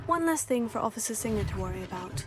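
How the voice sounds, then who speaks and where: A woman's voice: on the high side, lively intonation, fast talker, background noise, lightly acted. A woman playing a plucky, polite teenage witch student in a video game. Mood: composed, polite.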